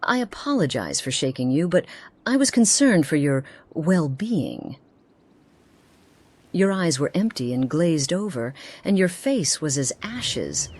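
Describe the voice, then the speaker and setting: Casting: actress for earnest, solemn woman companion in a fantasy RPG, unusually low register, courtly wording. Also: very animated, unhurried, darkish tone, exaggerated voice. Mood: concerned, searching. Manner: formal archaic phrasing, earnest. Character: Alicia, grave haunted woman companion, formal and concerned. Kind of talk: dramatic fantasy game voice acting.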